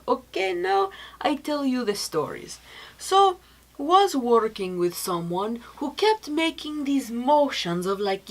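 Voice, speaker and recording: A young adult woman telling informal stories to camera, acting out what people said in an animated manner. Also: sits high. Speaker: speaker presenting product testimonials. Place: small decorated indoor set.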